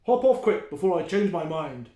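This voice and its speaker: A man's voice: a little high, very animated, warm tone. A man voicing a grumpy, boastful storybook troll who bullies and roars his words out emphatically. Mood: grudging. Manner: roaring, drawn-out, emphatic delivery. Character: grumpy, boastful male bridge troll, loud and bullying. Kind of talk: children's storybook character voice. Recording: roomy, echoing indoor sound.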